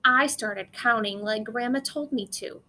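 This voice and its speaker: A woman reads a children's story aloud in a bright, fairly high voice. She is expressive with dialogue and sound words and takes her time. There is faint noise behind her. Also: measured pace.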